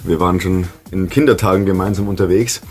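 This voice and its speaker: A man's voice: deep voice, steady tone, quick pace, darkish tone, soft background music. A man, a band member, chatting cheerfully in an interview and laughing often while he tells stories. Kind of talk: casual chat.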